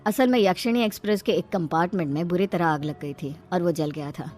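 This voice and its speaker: A woman's voice: on the low side, fairly quick, a little mellow, soft background music, close to an everyday voice. Woman playing a cryptic, knowing female cab driver. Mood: ominous, informing. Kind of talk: animated horror story character acting.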